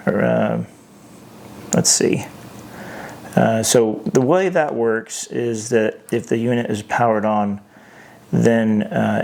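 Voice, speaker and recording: A man's voice: fairly low pitch, creaky, darkish tone. A man giving an unscripted hands-on product review as host, thinking aloud in a hesitant way.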